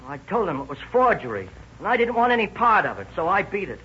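High, nervous teenage informer. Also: male voice, big pitch swings, quick pace, breathy edge, heavily acted. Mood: anxious, pleading. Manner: earnest, nervous, slangy. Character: frightened teenage boy informing on his pals. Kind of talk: 1930s radio crime drama acting. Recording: muffled, noisy old radio recording.

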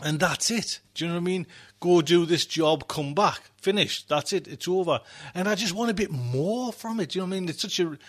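A man's voice: sits high, a little fast. A man hosting a podcast, chatting casually and off the cuff in a rambling, repetitive way. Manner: rambling, repeats himself. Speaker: podcast host. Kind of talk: casual unscripted chat.

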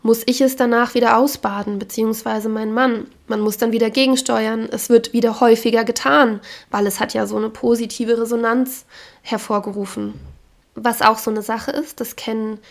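Woman with a cold, hoarse, fairly high voice, frank casual podcast talk. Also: fairly even intonation, normal speed. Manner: frank, opinionated. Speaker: podcast host.

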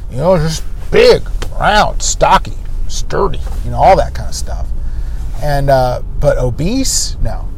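A middle-aged man hosting a podcast, talking candidly and self-mockingly about his own life in a personal monologue. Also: fairly high pitch. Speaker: podcast host.

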